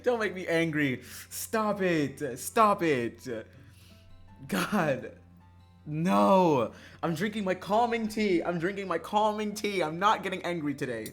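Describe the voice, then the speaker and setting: Excitable sweary streamer chatting over a game, voice jumps all over, bit of music behind. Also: mid-range voice, slow pace. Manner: excitable, mock-angry outbursts between calm asides. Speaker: livestreamer. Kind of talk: casual chat while playing a visual novel. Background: background music.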